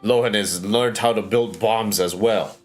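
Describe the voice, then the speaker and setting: A man with a low voice streams a game, reacting casually. He speaks slowly and hesitantly in muttered remarks, with faint music behind him. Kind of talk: casual livestream commentary.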